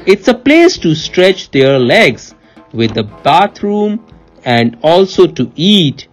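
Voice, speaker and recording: A woman reads aloud to a child in a low voice with animated, swinging intonation. She is playful and teacherly, repeating and prompting as she goes, and takes an unhurried pace. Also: lo-fi sound, soft background music. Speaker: parent reading a picture book to a child. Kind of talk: animated read-aloud storytelling with questions to a child.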